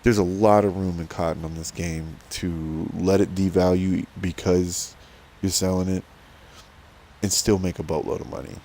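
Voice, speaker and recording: A middle-aged man with a rough smoker's voice, giving easygoing, rambling commentary to viewers while playing a farming game. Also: deep voice, little pitch movement, moderate pace, warm tone. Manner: rambling, easygoing, self-deprecating. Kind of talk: casual gameplay commentary to viewers.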